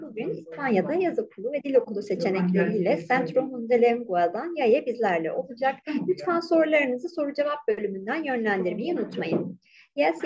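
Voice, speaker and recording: A woman moderating an online webinar, speaking politely and briskly over a video call. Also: on the high side. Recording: dull, muffled video call audio.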